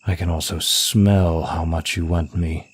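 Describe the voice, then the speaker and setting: Man acting as demon prince of lust, low-pitched, slow, seductive, arrogant, suave, taunting, intimate ASMR roleplay. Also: fairly even intonation, husky. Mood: confident, seductive. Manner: smug, taunting, suave, deliberate.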